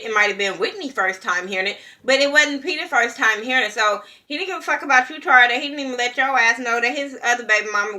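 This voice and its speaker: A woman's voice: medium pitch, bright, forceful tone. A young woman from California ranting bluntly and profanely while reviewing a reality show in a casual vlog. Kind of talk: casual opinion commentary.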